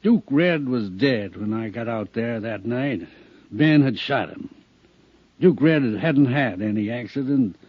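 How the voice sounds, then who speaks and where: A man's voice: mid-range voice, lively intonation, measured pace, no echo. A man playing a reluctant, subdued country doctor in an old radio drama. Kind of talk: 1950s radio drama acting. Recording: dull old radio recording.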